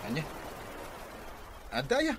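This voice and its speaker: A man playing a bumbling, evasive hard-drinking layabout who mumbles haltingly in an Ilocano radio comedy drama. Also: a bit bright, lightly acted. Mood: casual, curious. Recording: noisy radio drama with ambience.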